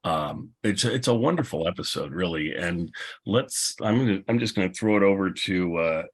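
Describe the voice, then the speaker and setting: A man's voice: deep voice, lively intonation, normal speed. A man hosting a panel discussion in a casual, unscripted, rambling and good-humored way. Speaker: panel host and podcaster.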